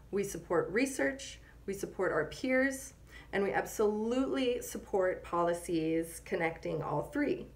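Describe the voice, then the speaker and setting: A woman's voice: mid-range voice, gravelly. A woman in her thirties speaking earnestly to the camera as an advocate, with firm emphasis. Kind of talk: earnest testimonial talk to camera. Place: small indoor room.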